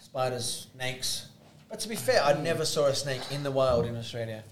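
A man from England co-hosting a casual chat, goofy and quick to pitch his voice up high when teased. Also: animated, slight background noise. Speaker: co-host. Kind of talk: casual banter.

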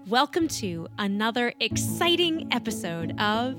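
Animated woman host over clear music. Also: on the high side, unhurried. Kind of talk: scripted show introduction. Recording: music behind the voice.

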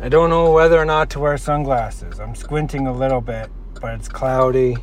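A forty-year-old man rambling casually and haltingly as he muses aloud while driving. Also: medium pitch. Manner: halting, reflective, musing aloud. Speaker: vlogger, musician. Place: inside a moving car. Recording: noisy car interior with road noise.

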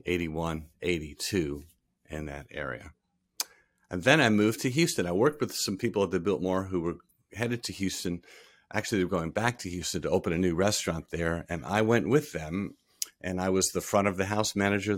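A man in his sixties from Rhode Island, a podcast guest recalling his career in a relaxed, storytelling way, often trailing off softly at the ends of phrases. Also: low voice, no room sound, studio quality. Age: 60s. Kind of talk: casual interview.

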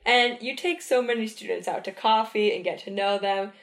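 A woman's voice: mid-range voice, normal speed, fairly bright tone. A young woman hosting a podcast interview, talking with gushing, giggly enthusiasm. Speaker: podcast host. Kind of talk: casual interview. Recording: echoey room.

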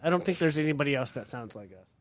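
Earnest man with a slightly high voice. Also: lively intonation, rapid-fire, crowd noise. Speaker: band member. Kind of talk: casual podcast chat.

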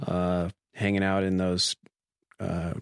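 A young man, a researcher being interviewed, explaining things informally in a hesitant, careful, measured way. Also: sits low, little pitch movement, gravelly, clean tone, no room sound, studio quality. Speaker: graduate student researcher, interview guest.

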